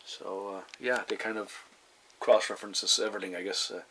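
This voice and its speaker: A man giving a casual, unscripted show-and-tell in a halting, meandering way, often repeating himself. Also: lowish voice, fairly even intonation, bright, forceful tone. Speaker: hobbyist collector presenting his radio. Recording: clear background noise, room ambience.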